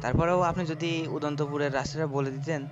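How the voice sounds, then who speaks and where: A man's voice: on the high side, steady tone, brisk, natural voice. A man playing a polite, earnest young man from the city in a cartoon folk tale. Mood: polite, confident. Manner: courteous, earnest. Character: polite city-bred young man, a visiting brother-in-law. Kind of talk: animated folk-tale voice acting. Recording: muffled audio under loud music.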